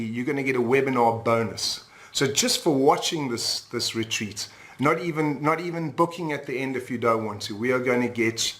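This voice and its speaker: A man's voice: mid-range voice, fairly bright tone. A man from South Africa hosting a sales webinar in an upbeat tone, often checking in with "all right?". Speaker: webinar host and retreat leader.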